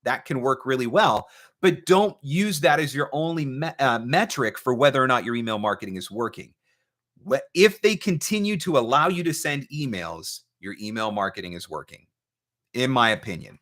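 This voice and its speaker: Male host and coach, mid-pitched and crisply bright, fielding audience questions with emphatic, deliberate delivery. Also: very animated. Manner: emphatic, deliberate, repeats key points. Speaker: host, voice-over marketing coach. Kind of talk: live Q&A advice talk, reading out viewer questions.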